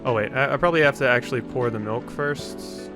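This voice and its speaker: A man doing casual let's play commentary, thinking out loud as he plays and reads a visual novel. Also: mid-range voice, fairly quick. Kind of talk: casual game commentary, reading visual novel dialogue aloud. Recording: music behind the voice. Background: game music.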